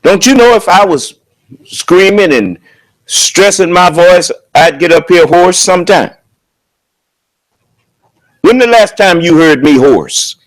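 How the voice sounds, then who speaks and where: High-voiced guy preaching loud, booming and emphatic, lots of rhetorical questions. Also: animated, slow pace, long pauses, rough recording. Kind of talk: sermon at a prayer service.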